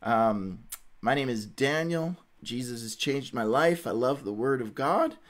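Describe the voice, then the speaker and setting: A man's voice: mid-range voice, expressive, measured pace. A middle-aged man hosting a devotional livestream in an informal, conversational, stop-and-start way. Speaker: host of a Bible read-along livestream. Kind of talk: casual devotional livestream talk.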